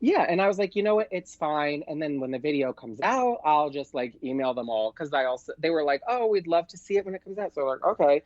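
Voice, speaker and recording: A man chats through an anecdote as a podcast guest in an excitable, gushing way. He peppers it with "like" and voices the dialogue as he goes. The sound is dry and somewhat dull, with a lo-fi edge. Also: fairly high pitch, quick pace, hardly any pauses, no echo. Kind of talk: casual anecdote, chatty storytelling.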